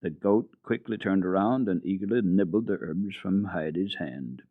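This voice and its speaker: A man giving a dramatic reading of a children's book for a radio program, in a lively, expressive storytelling manner. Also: low voice, moderate pace, no echo, narrow, tinny audio.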